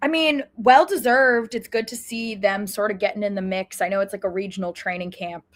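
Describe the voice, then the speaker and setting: A woman's voice: medium pitch, fairly quick. A woman co-hosting a sports podcast, chatting casually in a conversational, articulate way. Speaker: podcast co-host.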